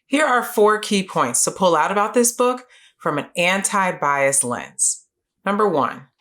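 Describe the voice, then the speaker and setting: A middle-aged woman presents scripted educational material as a series host. Her voice sits on the low side and moves with lively, varied intonation. She explains each point in a clear, measured manner. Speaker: host of a children's book review series.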